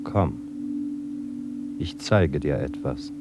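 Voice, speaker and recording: Deep solemn mystery man in an old radio play, calm n cryptic, lots of hum and noise. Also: very slow, full character voice. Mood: solemn, prophetic. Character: mysterious man in a raincoat, otherworldly, calmly implacable. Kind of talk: German radio drama acting.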